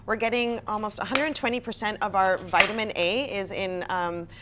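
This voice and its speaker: A woman's voice: mid-range voice, fairly even intonation, normal speed, heavy background noise. A woman hosting a cooking workshop in a kitchen, upbeat and chatty, asking her guests questions. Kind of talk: casual hosted cooking show.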